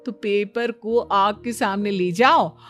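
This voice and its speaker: A woman's voice: mid-range voice, slow, faint music behind, close to an everyday voice. A woman voicing a confident, breezily boastful young daughter-in-law in a Hindi cartoon, explaining everything with self-assurance. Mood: instructive. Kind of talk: Hindi cartoon story dialogue.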